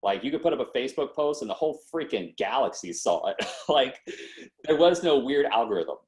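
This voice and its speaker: A man's voice: medium pitch, fairly quick. A man in his twenties, a podcast guest, telling his story in a casual, slangy way and acting out what people said. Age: 20s. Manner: lively, slangy, acts out quotes.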